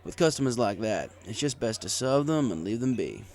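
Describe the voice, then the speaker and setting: A man's voice: mid-range voice, sing-song, a little fast, darkish tone. A man reading a story aloud in the first person as a bartender narrator. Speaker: audiobook reader. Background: music.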